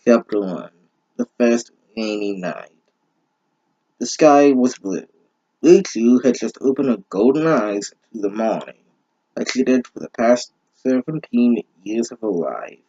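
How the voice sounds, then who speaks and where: A man's voice: mid-range voice, lively intonation, takes it slowly, pauses often, warm tone, husky, muffled recording. A man giving a mocking reading of fan fiction, breaking in with exasperated, sarcastic, sweary asides. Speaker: host of a bad-fanfiction reading series.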